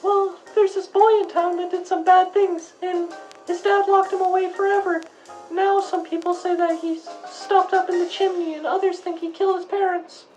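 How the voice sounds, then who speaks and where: A very high, childlike voice over music: a woman acting a nine-year-old tomboy girl in a radio play. She is blunt, indignant and ready to argue with grown-ups. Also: flat delivery, normal speed, a little mellow, low-quality recording. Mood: earnest, chatty. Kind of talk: acted radio interview for a school project.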